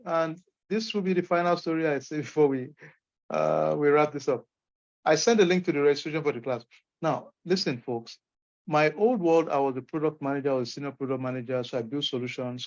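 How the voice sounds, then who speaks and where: Nigerian male instructor, upbeat and emphatic, fairly high voice over a dull lo-fi call line. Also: a little fast, darkish tone. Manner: encouraging, emphatic, folksy asides. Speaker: course instructor. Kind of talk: online Q&A session. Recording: muffled lo-fi call audio.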